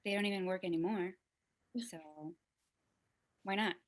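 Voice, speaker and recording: A woman's voice: mid-range voice, little pitch movement, normal speed, natural voice. An actress playing an unhappy, insecure sixteen-year-old schoolgirl in a live script table read. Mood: sullen.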